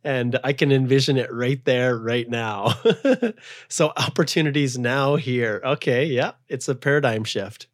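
A man's voice: medium pitch, measured pace, dry recording, clean studio sound. A man from Canada hosting a podcast interview as an immigration lawyer, talking in a chatty, rambling way with many restarts. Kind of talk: casual interview chat.

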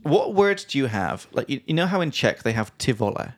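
A man hosting a podcast, chatting and teasing playfully over drinks and slowly explaining words. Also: mid-range voice, big pitch swings. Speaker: podcast host. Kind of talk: casual chat over drinks.

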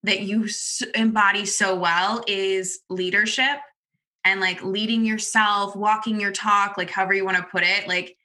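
A woman's voice: medium pitch, flat delivery, normal speed, pushed and bright. A young adult woman chatting enthusiastically in a casual interview, leaning on "like" as she talks. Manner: chatty, enthusiastic, heavy use of "like". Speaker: interviewer and former client.

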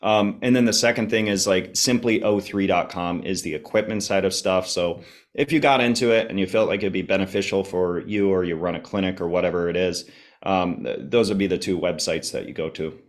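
A man chatting casually as a podcast guest, informal and explanatory, his voice slightly hoarse and a little congested. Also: low-pitched, brisk. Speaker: podcast guest, health enthusiast. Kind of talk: casual conversational interview.

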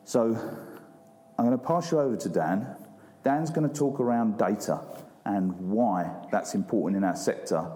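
A man's voice: on the low side, normal speed, mellow. A man hosting an event, introducing a speaker with frequent hesitations. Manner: hesitant, with many ums and uhs. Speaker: event host. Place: large room with live audience. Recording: long hall reverb.

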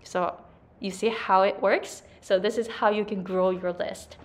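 A woman's voice: mid-range voice, steady tone, measured pace. A woman with a Japanese accent, a native Japanese speaker and English coach, giving chatty business advice to camera and often checking in with "right?". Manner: chatty, repeats "right?" and "like", self-corrections.

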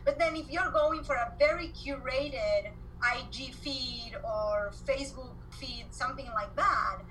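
A woman in her forties from Argentina with an Argentine accent, a guest on a podcast interview, telling stories in an animated way and repeating words as she goes. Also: high voice, measured pace, a little hiss or hum, rough recording. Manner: animated storyteller, repeats words when excited. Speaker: guest entrepreneur.

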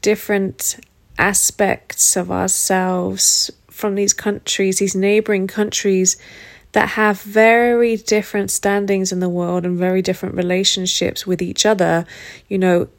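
A woman's voice: mid-range voice, flat delivery, unhurried. A woman hosting a podcast interview in a casual, affectionate way, calling her guest and listeners love. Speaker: podcast host. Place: rural home in the countryside.

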